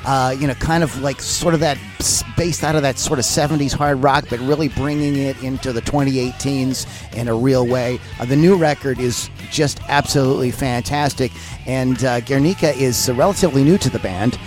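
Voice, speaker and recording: A man's voice: medium pitch, steady tone, a little fast, a little mellow, soft background music. A man hosting a rock podcast, enthusiastic and rambling, with lots of uh's as he introduces and questions his guest. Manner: enthusiastic, rambling, many fillers. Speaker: podcast host.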